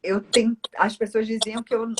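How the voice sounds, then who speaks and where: A woman's voice: on the high side, flat delivery, moderate pace, noisy background. A woman from Northeast Brazil with a Brazilian accent, talking at length in a casual, explanatory conversation as a speech therapist. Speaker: speech therapist, interview guest.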